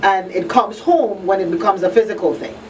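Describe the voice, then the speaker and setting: A woman's voice: mid-range voice, a little fast. Emphatic woman hosting talk radio, slightly distant. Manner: conversational, emphatic repetition, frequent rhetorical check-ins. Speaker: radio talk show host. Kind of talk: live radio discussion. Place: radio talk show broadcast. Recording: distant mic with faint noise.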